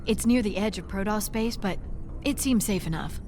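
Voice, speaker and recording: A woman's voice: medium pitch, normal speed, a little mellow, close to an everyday voice. An actress playing an earnest, somewhat defensive female colony scientist in a game cinematic.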